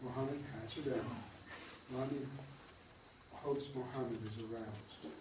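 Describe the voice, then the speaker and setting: A man reads stage directions in a plain, matter-of-fact voice of middle pitch. He is heard from a distance on a stage, with a roomy echo and a faint hiss behind him. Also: fairly even intonation, slow. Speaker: narrator reading stage directions. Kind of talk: reading stage directions aloud. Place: stage in a theatre.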